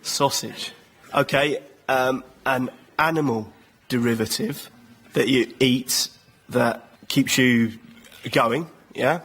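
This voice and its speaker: A man with a husky voice, explaining an idea as a guest on a comedy show. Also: mid-range voice, fairly even intonation, slow, fairly bright tone. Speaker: guest contestant. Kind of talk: explaining a tongue-in-cheek theory on stage.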